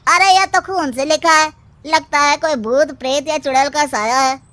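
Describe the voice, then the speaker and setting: A woman playing a simple, excitable village man in a cartoon story, speaking in a loud, drawn-out manner. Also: sits high, dry recording, pitched above the performer's own voice. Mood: frightened.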